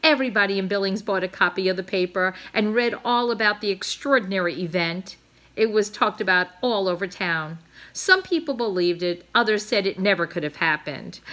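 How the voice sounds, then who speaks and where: A woman's voice: medium pitch, fairly bright tone. A woman reading a children's novel aloud expressively, dramatizing the action as a storyteller. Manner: dramatic, with emphasized sound words and brief asides. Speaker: storybook reader.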